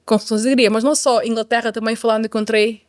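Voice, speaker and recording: A middle-aged woman with a Portuguese accent shares her personal story in a conversational way. Her voice sits fairly high and she talks very quickly. She repeats phrases for emphasis and drops in an English word here and there. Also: fairly even intonation. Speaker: guest telling her life story.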